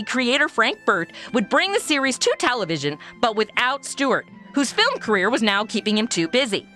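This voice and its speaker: A woman playing a flirty, brassy heroine with a high, bright voice that jumps all over. She is bubbly and self-mocking, with light music underneath. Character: flirty, brassy modern woman out of her time. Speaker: podcast host and actress. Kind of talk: comedy acting and chatty outro.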